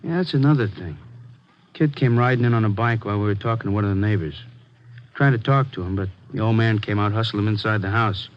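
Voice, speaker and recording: Man playing a lowish-voiced, earnest police detective. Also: fast talker, natural voice. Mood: earnest reporting. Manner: colloquial, earnest. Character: worried, insistent missing-persons police detective. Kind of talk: 1940s-50s radio crime drama acting. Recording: muffled, faintly noisy old radio recording.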